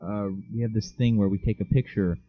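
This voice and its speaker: A man's voice: lowish voice, fairly even intonation, brisk, no echo, lo-fi sound. A teenage boy co-hosting a casual podcast, joking and teasing his friend while telling stories. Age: teens. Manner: joking, teasing, tells stories with big laughs. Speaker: podcast co-host. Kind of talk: casual chat.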